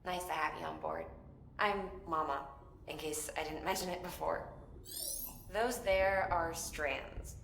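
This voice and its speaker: A woman's voice: low-pitched, a little slow, echoey room, slight background noise, full character voice. A woman acting an easygoing, frank maintenance engineer in a video game, speaking casually. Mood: explaining, frank. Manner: casual, relaxed phrasing.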